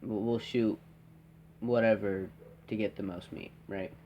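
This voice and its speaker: A man's voice: fairly low pitch, fairly even intonation, unhurried, muffled recording, a little hiss or hum. Stuffed-up teen boy rambling a story. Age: teens. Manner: rambling, with many fillers and tag questions. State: stuffed-up nose. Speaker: podcast host. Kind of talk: casual storytelling.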